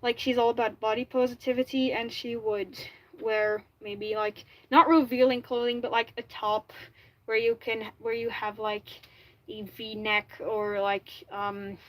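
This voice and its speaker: A woman's voice: fairly high pitch, flat delivery, a little slow, fairly bright tone. A woman with a Flemish accent, native Dutch speaker, chatting casually on a livestream and often correcting herself mid-sentence. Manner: chatty, restarts and self-corrects, frequent "like". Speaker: livestreamer making a fursuit.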